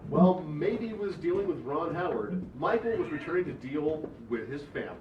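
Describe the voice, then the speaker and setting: A man's voice: fairly high pitch, lively intonation, normal speed, natural voice. A man playing a wry sitcom narrator, reading scripted narration at an amateur table read. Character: wry male documentary-style narrator of a sitcom. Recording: muffled, echoey, noisy distant mic.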